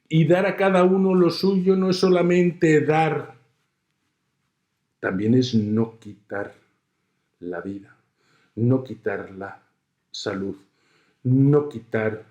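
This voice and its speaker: A middle-aged man with a Spanish accent from Spain lectures slowly, pausing often between phrases. He explains his point patiently with everyday examples. The room carries a noticeable echo. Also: on the high side, warm tone. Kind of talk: recorded university lecture.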